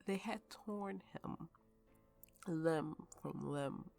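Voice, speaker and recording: Haunted, tipsy swordswoman played by an actress, ASMR roleplay storyteller; slow, creaky delivery, bitter and grieving, dramatic pauses. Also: sits low, a little mellow, faint music behind, exaggerated voice. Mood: haunted, suspenseful. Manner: halting, slow, dramatic pauses, dropping toward whispers.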